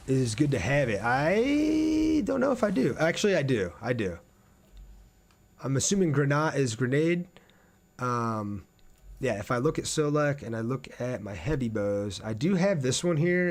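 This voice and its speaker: A man casually commentating on a game livestream and chatting with his viewers. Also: medium pitch. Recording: clear background noise, game ambience.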